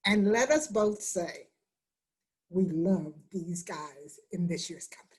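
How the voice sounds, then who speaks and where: A woman's voice: fairly low pitch, slow pace, a little mellow, breathy edge. A woman giving a welcome speech as a theatre presenter.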